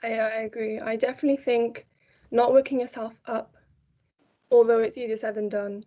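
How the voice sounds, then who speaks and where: A young woman talking calmly and reassuringly in a casual group chat. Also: a little high, moderate pace. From South Wales. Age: young adult. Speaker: student guest. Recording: dull lo-fi audio.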